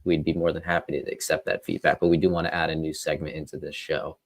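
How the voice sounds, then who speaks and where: A man with a very low, deep voice co-hosts a casual podcast about trading. He talks in an easygoing way over a video call. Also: little pitch movement, fast, no room sound, studio quality. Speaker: podcast co-host.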